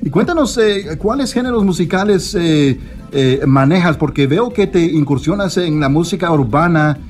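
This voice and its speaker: Higher-pitched man interviewing over music. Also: animated, moderate pace, a little mellow. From born in El Salvador, raised in Canada from early childhood. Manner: effusive, hesitant, frequent tag questions. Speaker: radio host interviewer. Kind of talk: casual radio interview in Spanish.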